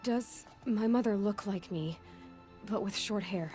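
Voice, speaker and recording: A young huntress in a game drama voiced by a woman, mid-pitched and natural. She is determined and curious, asking direct questions over a clear musical score. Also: measured pace, over music, close to an everyday voice. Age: young adult. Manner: direct, probing. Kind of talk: video game cutscene acting.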